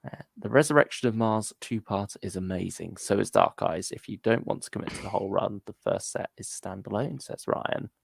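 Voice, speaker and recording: A man with a fairly low voice and heavy vocal fry co-hosts a casual fan discussion. He speaks in a hesitant, hedging way, recorded close and dry. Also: a little fast, hardly any pauses, no echo. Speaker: podcast co-host. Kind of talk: casual fan discussion, reading listener comments.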